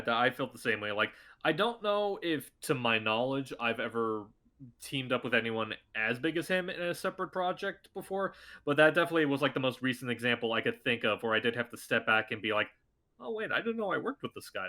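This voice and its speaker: A man who is a voice actor, chatting casually as a podcast guest, talking excitedly and jumping his voice up high when he acts out what people said. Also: fast talker.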